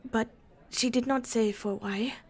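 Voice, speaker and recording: A woman playing a nervous, secretive German desk clerk in an audio drama, with a German accent and a halting, hushed, stammering delivery. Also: a little high, fairly even intonation. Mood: uneasy, hesitant. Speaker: voice actor. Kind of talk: cinematic audio roleplay acting.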